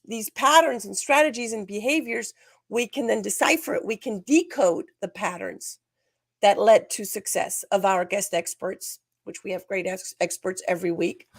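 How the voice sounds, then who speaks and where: Slightly hoarse woman hosting, gravelly edge. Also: mid-range voice, no echo, clean studio sound. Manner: energetic, emphatic, restarts phrases. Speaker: podcast host. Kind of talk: scripted show opening and interviewing.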